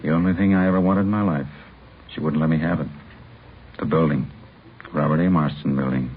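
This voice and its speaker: An actor playing a resigned, obsessed doctor who confesses in a halting, brooding way, in 1950s radio drama style. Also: male voice, extremely low pitch, fairly even intonation. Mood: brooding confession. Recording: muffled, hissy old radio recording.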